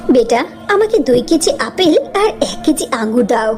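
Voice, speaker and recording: Woman acting a high-voiced gracious rich mother. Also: big pitch swings, normal speed, a little mellow, faint music behind. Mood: admiring. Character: gracious wealthy mother seeking brides for her sons. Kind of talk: animated story voice acting.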